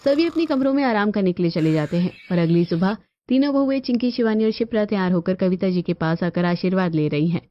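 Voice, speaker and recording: A woman's voice: mid-range voice, animated, fast talker, hardly any pauses, dark tone. A woman reading scripted narration for a cartoon family story. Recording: dull lo-fi audio over music.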